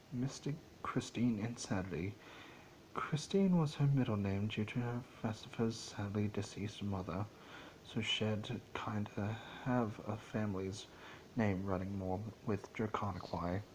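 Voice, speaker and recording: A low-pitched man narrates a storybook tale as an amateur dramatic reading. He is theatrical yet halting, tripping on words now and then, with faint noise on the recording. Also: darkish tone, poor audio.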